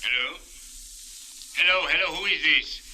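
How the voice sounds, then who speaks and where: An actor playing an official police captain answering the telephone in an old radio drama. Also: male voice, fairly high pitch, unhurried, lightly acted. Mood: official, impatient. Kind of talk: 1940s radio detective drama acting. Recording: very noisy, hissy old radio recording.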